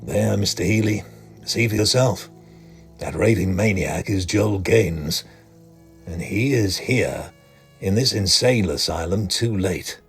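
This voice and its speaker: A man's voice: sits low, slow, gravelly, breathy edge, faint music behind, heavily acted. A man playing a grave asylum doctor who narrates a grim case in a solemn, ominous horror drama style.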